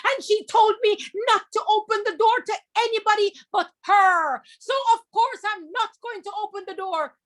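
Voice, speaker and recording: A woman voicing a clever, bold young girl in a folk tale, speaking with high, emphatic insistence. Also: moderate pace, hardly any pauses, a bit bright. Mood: indignant.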